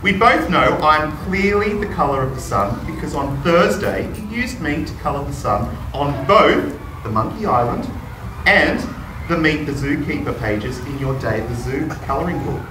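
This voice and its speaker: A man's voice: on the high side, lively intonation, normal speed, close to an everyday voice. Man as a snide, cocky orange crayon. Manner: mocking, self-assured. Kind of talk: animated storybook read-aloud for children. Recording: distant, roomy classroom with noise.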